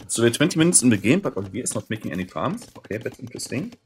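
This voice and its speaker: Hoarse man casually commentating a game. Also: mid-range voice, sing-song, fast talker, a little mellow, a little hiss or hum. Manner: thinking aloud, often clearing his throat; keeps saying "interesting" with mild curiosity. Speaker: gaming channel host commentating a match.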